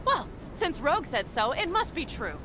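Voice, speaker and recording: An actress playing a hot-tempered young nomad mercenary in a video game, blunt, sarcastic and quick to swear. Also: female voice, sits high, very animated, background noise. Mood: wry, guarded. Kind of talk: cinematic video game voice acting.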